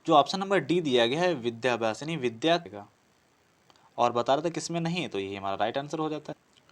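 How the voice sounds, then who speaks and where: A man's voice: mid-range voice, lively intonation, brisk. Man teaching through practice questions. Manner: explanatory, repeats phrases, poses questions and answers them. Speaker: teacher for competitive exam Hindi grammar. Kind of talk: classroom lesson working through practice questions.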